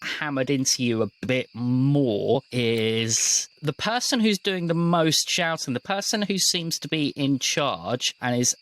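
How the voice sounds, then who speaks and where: A man's voice: mid-range voice, lively intonation, unhurried, hardly any pauses, a bit bright. A man acting as game master, narrating scenes and asking players for rolls in a tabletop role-playing game.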